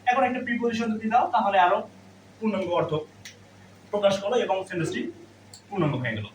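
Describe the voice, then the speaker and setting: A man's voice: high voice, lively intonation, quick pace. A man teaching a grammar lesson step by step, asking questions and answering them himself. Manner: instructive, asks and answers own questions. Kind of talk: classroom lesson, explaining step by step. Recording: roomy, distant mic, faint background noise.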